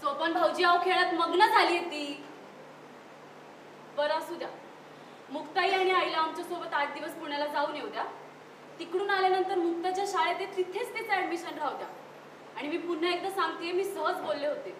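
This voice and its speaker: A woman's voice: very high-pitched, a little fast, a bit bright. A woman playing an earnest city sister-in-law in a live stage play, arguing her case politely and with emphasis. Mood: conciliatory. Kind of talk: live one-act stage play acting. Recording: echoey distant stage recording with noise.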